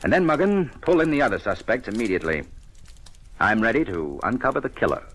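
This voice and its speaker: An actor playing a shrewd, relentless police inspector, questioning deliberately and pointedly in 1940s radio detective style. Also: male voice, lowish voice, very animated, very noisy. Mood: commanding, brisk.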